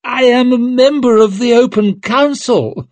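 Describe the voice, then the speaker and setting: Shrill, wailing condemned young nobleman. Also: a little slow, a little mellow, dry recording. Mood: indignant. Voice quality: screeching.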